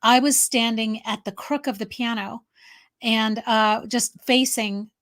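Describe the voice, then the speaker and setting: A woman's voice: a little high, fairly even intonation, unhurried, a bit bright. A woman chatting casually as a co-host, telling personal stories in an emphatic way. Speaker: talk show co-host. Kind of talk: casual anecdotal chat.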